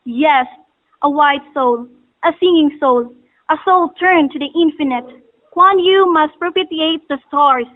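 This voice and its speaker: A woman's voice: high-pitched, unhurried. A woman playing an ominous wise-woman astrologer in a stage drama, pronouncing prophecy in a formal, oracular manner. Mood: solemn, ominous. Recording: muffled phone-like audio.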